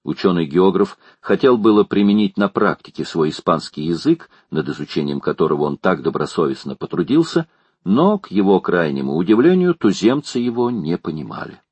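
Male audiobook narrator, low register, a touch husky, steady storytelling delivery. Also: brisk, dry recording. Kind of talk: scripted narration of a classic adventure novel.

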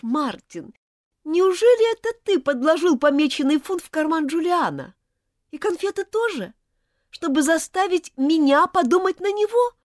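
A woman's voice: very high voice, no room sound, clean studio sound, voice pushed up, full character voice. A woman reading aloud, voicing an indignant schoolgirl who scolds and accuses. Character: furious indignant schoolgirl, sharp-tongued. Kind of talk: audiobook character voice.

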